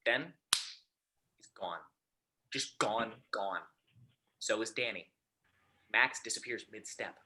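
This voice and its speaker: A man's voice: fairly low pitch, slow, long pauses, sharp tone. A man reading stage directions aloud as the narrator of a script.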